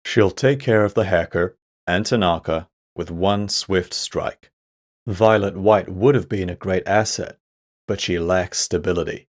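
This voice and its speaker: A man's voice: low-pitched, measured pace. A man playing a cold, middle-aged crime syndicate boss in an audio drama, with a calculated, menacing manner. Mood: cold, calculating.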